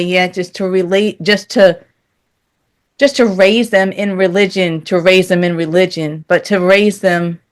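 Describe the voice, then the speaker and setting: A woman speaking earnestly and candidly as an interview guest, in a conversational style. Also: fairly low pitch.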